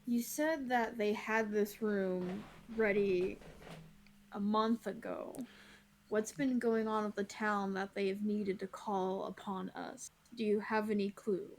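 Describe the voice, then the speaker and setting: Female tabletop player, slow and soft-spoken, faint room ambience. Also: medium pitch, steady tone, a little hiss or hum, rough recording. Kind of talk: casual tabletop play.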